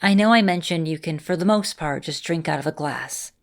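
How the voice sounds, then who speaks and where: A woman playing an affectionate vampire mistress in an ASMR roleplay, speaking in a gentle, reassuring and softly teasing manner. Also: deep voice, a little fast. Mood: flustered, teasing. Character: affectionate centuries-old female vampire mistress, encouraging mentor.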